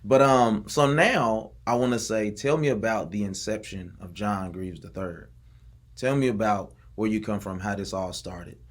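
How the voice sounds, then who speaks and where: A man's voice: on the low side, normal speed. A man hosting a podcast, chatting in a laid-back, slangy way and affirming his guest. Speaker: podcast host. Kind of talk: casual chat.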